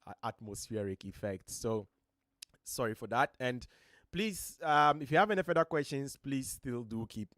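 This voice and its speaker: A Ghanaian man with a deep voice, giving earnest, motivational talk as host of a live stream from his home studio, his voice a little strained. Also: expressive, moderate pace, a bit bright, no echo. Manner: earnest, motivational, emphatic rises. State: voice slightly strained.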